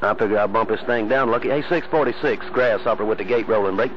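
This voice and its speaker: A man with a Southern US accent chatting casually in CB slang over the radio, with folksy drawn-out exclamations. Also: on the low side. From southern United States. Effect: CB radio transmission. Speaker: CB radio operator. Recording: muffled, very noisy CB radio reception. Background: loud radio static.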